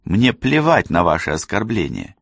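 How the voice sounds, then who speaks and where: A man's voice: low voice, sing-song, normal speed, creaky, no room sound, clean studio sound, full character voice. A man voicing a cold, haughty young woman who talks down to people with smug, imperious disdain. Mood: indignant, disdainful. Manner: condescending, imperious.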